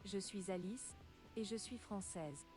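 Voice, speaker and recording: A woman's voice: mid-range voice, moderate pace, loud music behind the voice. A woman's synthetic voice reading short example sentences for a language app in a clipped, evenly spaced way. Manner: clipped, evenly spaced text-to-speech delivery.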